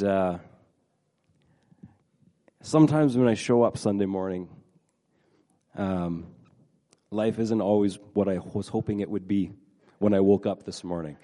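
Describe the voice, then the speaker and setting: A middle-aged pastor preaching a casual sermon, a little nasal from a head cold, halting and repeating words with many fillers. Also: male voice, lowish voice, mellow.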